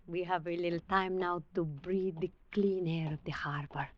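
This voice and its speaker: A woman's voice: on the low side, fairly even intonation, normal speed, natural voice. Actress as a passionate waterfront woman, dull vintage radio. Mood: hushed, guiding. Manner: dramatic, imperious, ornate formal speech. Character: passionate, fearless local woman of the waterfront, fugitive. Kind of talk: 1940s radio acting. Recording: dull vintage radio recording with organ music.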